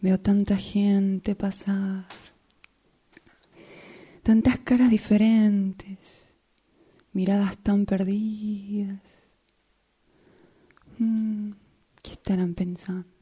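A woman plays a weary worker in a radio monologue at a train stop. Her voice is very dark and husky, and she speaks slowly. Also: medium pitch, level tone. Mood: weary, pensive. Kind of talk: amateur radio theatre monologue.

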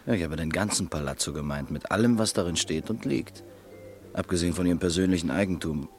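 An actor playing a wary impostor who poses as a writer, speaking curtly and dryly in a radio play. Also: male voice, low voice, fast, soft background music. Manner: curt and dry, few words. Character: wary American impostor posing as a writer, curt.

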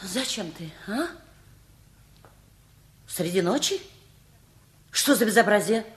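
An actress playing a bossy, indignant middle-aged housewife with a scolding, imperious manner in a radio play. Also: female voice, mid-range voice, lively intonation, slow pace.

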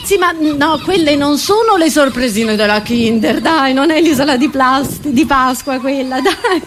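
A woman's voice: sits high, a little slow, slight background noise. A woman comedian delivers a theatrical monologue. Her manner is frantic and exclamatory, with stammered, repeated words that break up the sentences. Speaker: stand-up comedian.

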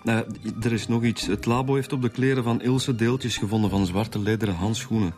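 Actor as male detective, Flemish accent, low pitch, hesitant, earnest, radio drama, light music behind. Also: steady tone, brisk, darkish tone, natural voice.

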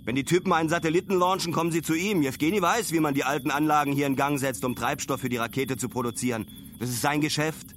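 Radio play role: reflective male traveller played by an actor, lighter-pitched, musing and colloquial, over faint ambient noise. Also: fast talker, fairly bright tone, lightly acted. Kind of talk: first-person radio play monologue.

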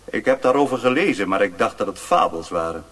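A man playing a courteous, commanding Chinese lord in a radio play, speaking in a formal, measured, stately manner. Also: fairly low pitch, animated, a bit bright. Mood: curious. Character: courteous, commanding Chinese lord, curious about Western science. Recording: hissy old radio play recording.

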